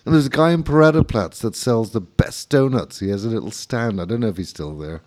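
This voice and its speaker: A man's voice: fairly low pitch, a little fast, a little mellow. A middle-aged man hosting a radio interview, teasing his guest with jokes and banter. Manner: teasing, wisecracking. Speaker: radio show host.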